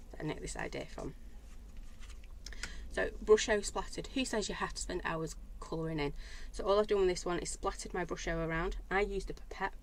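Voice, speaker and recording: A woman with a slightly lower, croaky voice talks chattily through handmade cards on a live stream. She greets viewers by name as she goes. Faint room ambience sits behind her. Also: fairly bright tone, a little hiss or hum, poor audio. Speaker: craft stamping demo host. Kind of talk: live-stream craft show-and-tell.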